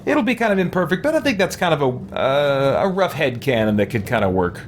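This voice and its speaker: A man's voice: medium pitch, big pitch swings, brisk, slight background noise. A man giving casual, playful let's play commentary with theatrical roleplay asides. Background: video game music.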